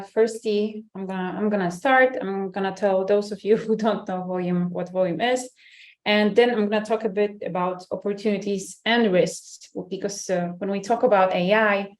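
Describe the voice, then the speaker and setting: A woman's voice: medium pitch, a little mellow. A woman hosting an online webinar in an upbeat, chatty way full of fillers. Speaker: webinar host, head of marketing. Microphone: video call.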